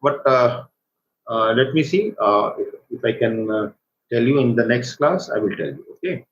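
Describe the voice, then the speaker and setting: A man with an Indian accent gives an informal live software tutorial as an online instructor, speaking in a halting, hesitant way. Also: mid-range voice. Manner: halting, hesitant delivery with repeated check words. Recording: roomy echo, dull lo-fi microphone, faint noise.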